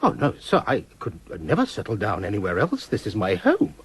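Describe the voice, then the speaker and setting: An actor playing a meek, nervous clerk who stammers and pleads in a radio comedy. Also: male voice, on the low side, wildly swooping pitch, fairly quick, slightly rough, heavily acted. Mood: pleading.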